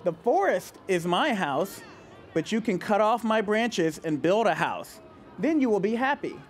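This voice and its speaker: A man voicing a loving old female tree as he reads a picture book aloud, softly and slowly. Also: high-pitched, sing-song. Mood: tender.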